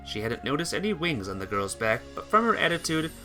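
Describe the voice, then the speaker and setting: A man narrates a visual novel in an informal amateur read-aloud. His voice is bright and slightly high, with lively intonation, and he trips over words now and then. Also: a little fast, soft background music. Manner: stumbles over words and rereads phrases.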